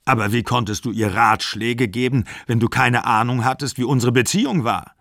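A man's voice: medium pitch, normal speed, dry recording, studio quality. A man voicing a furious, bitter aging actor of sixty-five who rants and accuses. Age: 60s. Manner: ranting, accusing, shouting.

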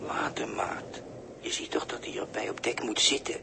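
A man acting a gruff, unsympathetic sailor, curt and dismissive, in a 1960s radio drama. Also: medium pitch, normal speed, in a whisper. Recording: noisy 1960s radio recording.

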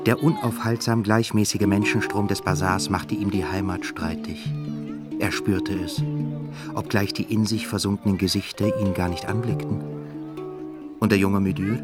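A man giving measured, grave literary narration in a radio drama. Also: deep voice.

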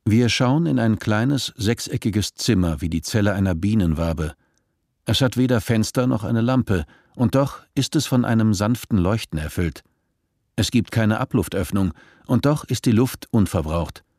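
A man narrating a radio drama from a script in a measured, matter-of-fact way. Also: fairly low pitch, animated, darkish tone, no room sound, clean studio sound. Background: faint music.

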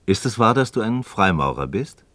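An actor playing an inquisitive, sceptical friend in a radio dialogue, probing in formal, expressive speech. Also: male voice, fairly low pitch, normal speed, dry recording. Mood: curious. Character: inquisitive, sceptical male friend pressing for answers. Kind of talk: German radio play dialogue.

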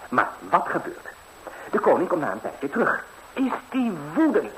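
An actor playing a cheerful, fearless young lad who tells things breezily in a 1960s radio fairy tale. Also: male voice, high-pitched, huge pitch swings, moderate pace, a bit bright, exaggerated voice. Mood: animated recounting. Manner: breezy, colloquial, eager storytelling. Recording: slightly noisy old 1960s radio recording.

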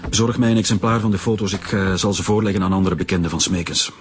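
An actor as a senior male police chief in a Flemish radio serial. He gives orders formally at a fast pace, in a fairly low voice with a Flemish accent. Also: a little hiss or hum, lightly acted. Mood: formal, sure. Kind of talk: naturalistic radio drama acting.

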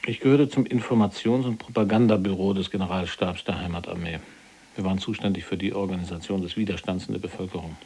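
Low, husky Polish resistance prisoner. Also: male voice, fairly even intonation, fast, mellow, full character voice. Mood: sober, reflective. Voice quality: husky, gravelly. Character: imprisoned Polish resistance officer, educated, sober, weary. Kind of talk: radio drama dialogue, measured recounting.

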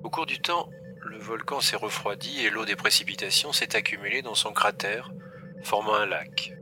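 A man's voice: fairly low pitch, moderate pace, sharp tone, faint music behind. A man playing an obliging, precise, didactic machine guide in a French audio drama.

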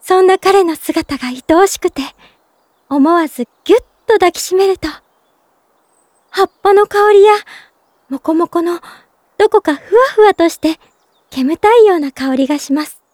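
Very high-pitched, gentle young woman in love. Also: moderate pace, long pauses, dry recording, clean studio sound. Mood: tender. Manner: soft-spoken polite, earnest, sweet. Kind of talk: Japanese drama CD monologue, narrating in character.

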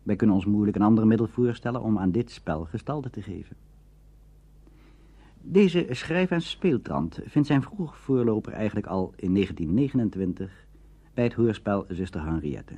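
Husky, expressive male documentary narrator. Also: fairly low pitch. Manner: measured, expressive, erudite. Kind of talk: scripted narration on radio drama history.

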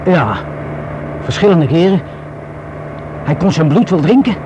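A man's voice: fairly high pitch, expressive, unhurried, muffled recording. An actor playing a wary, rueful young man confiding in the police in a radio drama, colloquial and hesitant. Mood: frank, scornful. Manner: hesitant, colloquial, self-reproaching. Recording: noisy old radio play recording.

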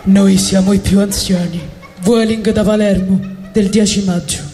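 A man's voice: high voice, steady tone, a little slow, warm tone, faint music behind. A man voicing a bossy, territorial old passenger in a comic stage reading. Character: old, territorial, stranded baggage-claim veteran.

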